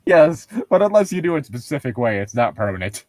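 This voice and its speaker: A man's voice: mid-range voice, big pitch swings, fast, darkish tone, no room sound, clean studio sound. A man co-hosting a comedic read-aloud, bantering casually with a sarcastic, teasing manner and ready laughter. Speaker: co-host of a comedic book-reading show.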